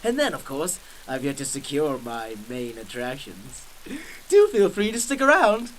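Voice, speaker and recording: A man's voice: mid-range voice, huge pitch swings, a little slow, fairly bright tone, a little hiss or hum, poor audio. A man playing a gleefully sadistic villain clown in a radio drama, mock-polite and flamboyant, drawing out words and cackling. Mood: mocking menace.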